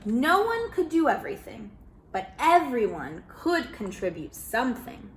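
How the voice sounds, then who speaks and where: A woman reading a children's picture book aloud, expressive and animated, calling listeners friends. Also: on the high side, measured pace, a little hiss or hum. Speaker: children's storyteller. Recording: roomy echo.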